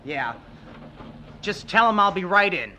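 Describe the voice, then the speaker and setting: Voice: mid-range voice, big pitch swings, unhurried, pushed and bright, heavily acted. An actor playing a good-natured sixteen-year-old boy with a wry, teasing way, in a 1970s radio drama. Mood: mildly exasperated. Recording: engine noise behind the voice. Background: car engine noise.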